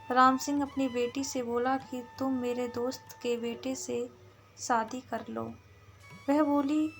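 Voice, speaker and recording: A woman with a high voice reads a story aloud at a very slow pace. Her delivery is halting, often pausing in the middle of phrases. Light music plays behind the narration. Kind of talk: scripted story narration in Hindi storytelling manner. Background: background music.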